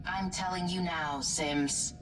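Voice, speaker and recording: A woman voicing a spaceship's artificial intelligence in a sci-fi game, formal, measured and detached. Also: lowish voice, steady tone, distant mic, a little hiss or hum. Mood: calm, detached. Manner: formal, measured, clipped announcements. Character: calm female ship's computer AI, formal and detached. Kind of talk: video game voice acting, sci-fi horror.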